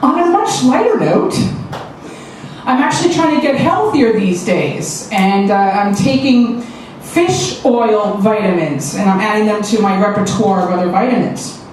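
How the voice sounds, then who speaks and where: A woman's voice: mid-range voice, animated, unhurried, darkish tone. A woman performing a stand-up comedy set with a brash, punchy delivery and crude punchlines. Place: small comedy club stage. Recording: distant echoey club recording with background noise.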